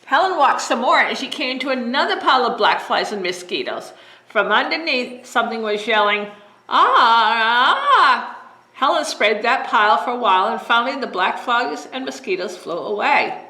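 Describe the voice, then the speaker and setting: A woman librarian reading a bedtime story aloud in a gentle, expressive way. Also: on the high side, fairly quick. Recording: roomy echo.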